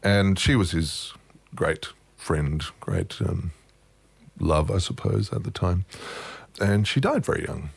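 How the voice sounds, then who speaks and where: A man with a very low, deep voice reminisces in an interview. He is wry and self-mocking, and his anecdotes wander. The close, dead-dry recording has no room sound. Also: takes it slowly, vocal fry, slightly rough, dry recording. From Australia. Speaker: guest musician. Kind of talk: relaxed storytelling interview.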